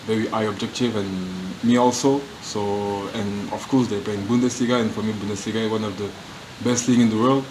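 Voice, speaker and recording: A man from France answering press questions in halting, searching English, restarting his sentences often. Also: on the low side, steady tone, noisy background. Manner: halting non-native English, frequent restarts. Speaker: professional footballer. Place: media room.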